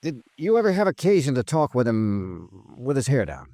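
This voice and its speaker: An actor playing a tough, terse, sardonic investigator in a 1950s radio noir drama, in both dialogue and narration. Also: male voice, mid-range voice, big pitch swings, normal speed, mellow, no room sound, clean studio sound. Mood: probing. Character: tough, blunt hardboiled insurance investigator.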